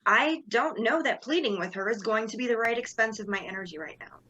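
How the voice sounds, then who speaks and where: A young woman playing a composed, calculating sorority leader in a dramatic reading, with formal, deliberate phrasing. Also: a little high, lively intonation, bright, forceful tone, breathy edge, muffled recording, heavily acted. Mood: measured, skeptical.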